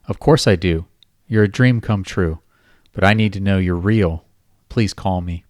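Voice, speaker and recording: Guy reading a low soothing sweet-talker, sweet and coaxing. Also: measured pace, darkish tone, no echo, studio quality. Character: grown man, smooth-talking online predator.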